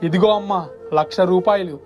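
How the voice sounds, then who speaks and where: A woman voicing a headstrong, money-hungry elder brother from a poor fishing family in an animated moral story. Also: fairly low pitch, a little fast, over music, natural voice. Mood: defiant.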